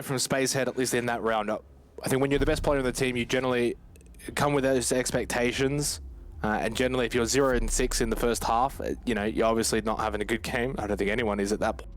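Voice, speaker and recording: A man commentating a live esports match in a conversational, informal way, with asides to listeners. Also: medium pitch. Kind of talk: live game commentary and casual banter. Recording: clear game noise behind commentary. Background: video game sound.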